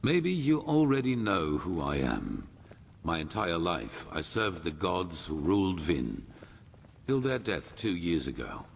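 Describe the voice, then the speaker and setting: Very deep, gravelly old grandmaster. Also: male voice, very animated, slight background noise, exaggerated voice. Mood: grave, reflective. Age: older. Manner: solemn, formal, measured storytelling. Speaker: video game character. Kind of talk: dramatic fantasy game dialogue.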